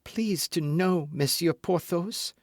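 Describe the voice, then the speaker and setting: A man's voice: a little high, slow, no echo, clean studio sound, pitched above the performer's own voice. A man putting on a proud lawyer's wife. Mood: piqued. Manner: piqued, pleading. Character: proud, anxious lawyer's wife, middle-aged woman. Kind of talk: audiobook character reading.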